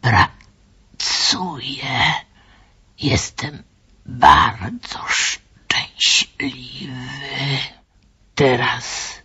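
Creepy spirit of a dead japanese guide, hoarse whispery, super slow broken phrases, bit muffled recording. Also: medium pitch, sharp tone, heavily acted. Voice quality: raspy, breathy, half-whispered. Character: eerie spirit of a long-dead Japanese guide speaking through a medium. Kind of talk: radio play acting.

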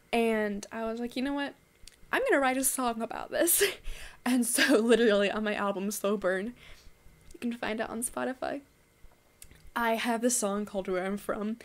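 A young woman from Texas talking casually to a webcam in her bedroom, rambling with many fillers and restarts. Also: a little high. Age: young adult. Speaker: vlogger. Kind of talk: casual monologue to camera. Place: small bedroom indoors.

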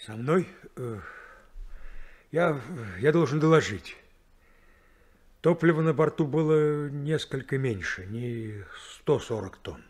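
An actor playing a guilty, nervous ship's engineer who hesitates and stammers, in a 1970s Soviet radio drama. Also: male voice, mid-range voice, animated, slow pace, long pauses, darkish tone.

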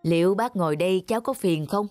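An actor playing a kindly, wise old man who gives gentle, reflective advice in an animated drama. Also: sits high, brisk, no echo, faint music behind, close to an everyday voice. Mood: gently concerned. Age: older.

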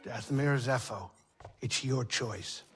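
An actor playing a grumpy, excitable small alien pilot in a video game, blustering and exasperated. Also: male voice, medium pitch, slow, music in the background, lightly acted. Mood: blustering, eager. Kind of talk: video game cutscene acting.

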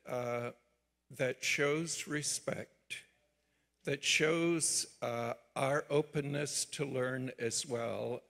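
A man speaking from the floor at a conference, halting and measured as he puts a question. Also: mid-range voice, vocal fry, a bit bright, husky. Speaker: seminary academic, audience questioner. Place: large conference hall. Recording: long hall reverb.

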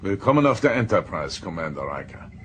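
Starship captain, male, reserved authority, lower register, sci-fi radio play. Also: sing-song, a little hiss or hum. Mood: reserved, awkward. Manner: formal, stern, measured. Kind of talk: German audio drama acting.

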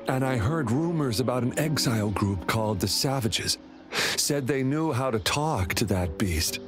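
A man's voice: medium pitch, animated, normal speed, natural voice. An actor playing a timid, remorseful young man in a video game, a devoted brother who speaks hesitantly and apologetically. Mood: ashamed, dejected. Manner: hesitant, apologetic, soft-hearted. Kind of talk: video game character dialogue. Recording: clear music behind the dialogue.

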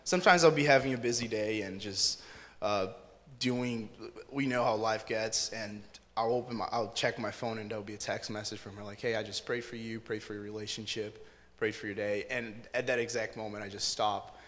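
A young man in his twenties from Brazil, telling his faith story as a guest in an earnest, relaxed way. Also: fairly low pitch, normal speed. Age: 20s. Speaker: interview guest. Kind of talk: casual testimony on stage. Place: church stage before an audience. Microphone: handheld mic. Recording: church hall reverb.

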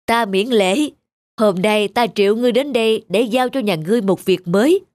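A woman's voice: mid-range voice, normal speed, a little mellow, no echo, close to an everyday voice. A woman voicing a stern, regal male emperor in a cartoon folk tale, speaking formally and imperiously. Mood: commanding. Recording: music behind the voice.

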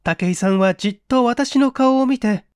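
Woman playing a high-voiced, genteel young lady. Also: normal speed, darkish tone, smooth, dry recording, studio quality, natural voice. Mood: startled, polite. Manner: feminine, polite, flustered. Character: anxious, genteel young single woman recounting her ordeal. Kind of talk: dramatic audiobook reading.

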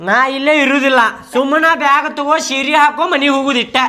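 A woman's voice: high voice, steady tone, quick pace, pushed and bright. A woman voicing a touchy son-in-law who grumbles and takes offense at everything, in a North Karnataka Kannada accent. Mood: grumbling. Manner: indignant, complaining, sarcastic laughs. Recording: noisy background.

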